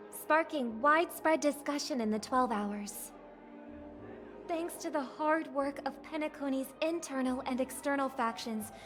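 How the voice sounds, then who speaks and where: An actress playing a poised young singer idol who gives a measured, formal, gracious ceremonial speech in a game. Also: female voice, sits high, fairly bright tone.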